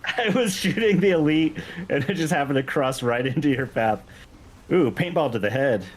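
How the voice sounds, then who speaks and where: A man's voice: on the high side, expressive, normal speed, background noise. A man in casual gameplay chat, a modder explaining things in a laid-back way. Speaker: mod creator guesting on a stream. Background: game music.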